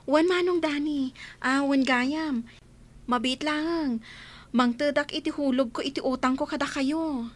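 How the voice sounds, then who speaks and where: A woman's voice: high voice, unhurried. A woman playing a grateful, earnest village shopkeeper in an Ilocano radio comedy drama, polite and deferential, with an Ilocano accent.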